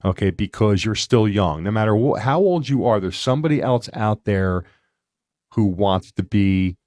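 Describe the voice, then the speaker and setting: A fifty-something man hosting a podcast, his voice sitting on the low side. He rambles in a chatty way and drifts into lively asides. The recording is dry and close, with no room echo. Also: normal speed. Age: 50s. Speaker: podcast host. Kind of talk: casual interview chat.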